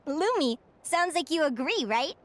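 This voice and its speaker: A young woman voice actor playing a spirited, optimistic female adventurer in a video game, earnest, upbeat and expressive. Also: high-pitched, a little slow, heavy background noise. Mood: gentle, sincere. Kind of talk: English video game voice acting. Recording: background music under the dialogue.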